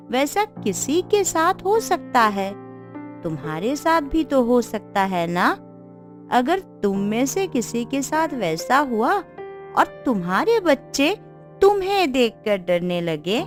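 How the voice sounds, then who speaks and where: A woman playing sorrowful village women in a cartoon moral story, speaking in a plaintive, dramatic way. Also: fairly high pitch. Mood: reproachful. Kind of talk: Hindi cartoon story dialogue. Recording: background music under the voice. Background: music.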